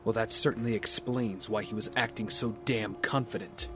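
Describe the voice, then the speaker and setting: A man's voice: on the low side, steady tone, normal speed, lightly acted. Man playing serious, cautious big brother. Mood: concerned. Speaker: voice actor in audio drama. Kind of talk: fan audio drama acting. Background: music.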